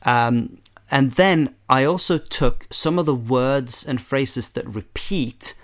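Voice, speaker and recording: A man's voice: medium pitch, slow pace. A man working as a dialogue editor on a show, chatting casually behind the scenes and explaining the work in an appreciative manner. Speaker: podcast producer and dialogue editor.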